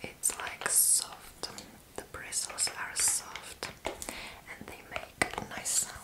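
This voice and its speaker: A young adult woman doing an ASMR roleplay in a breathy, unvoiced whisper, with a sassy, playfully deadpan manner. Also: takes it slowly. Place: small room indoors.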